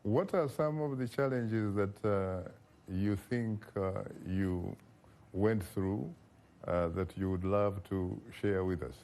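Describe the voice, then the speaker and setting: A man hosting a broadcast interview, asking measured questions with frequent pauses and fillers. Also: fairly low pitch, warm tone. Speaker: talk show host.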